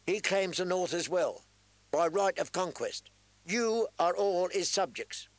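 A man's voice: sits high, lively intonation, unhurried, no room sound, pitched above the performer's own voice. A man voicing an arrogant young prince who mocks and threatens his captives. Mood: commanding. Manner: sneering, commanding.

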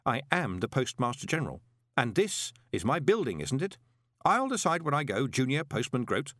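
A man voicing a shrewd, wry adult postmaster with a dry, smooth-talking manner. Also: medium pitch, big pitch swings, normal speed, fairly bright tone, no room sound, pitched above the performer's own voice. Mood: firm.

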